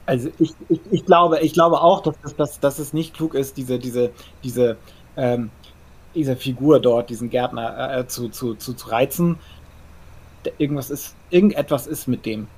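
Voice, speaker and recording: A man's voice: mid-range voice, steady tone, moderate pace, husky, natural voice. A man playing a nervous, perfectionist vampire in a role-play audio drama, stammering and repeating words in a formal, earnest way. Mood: tense, earnest.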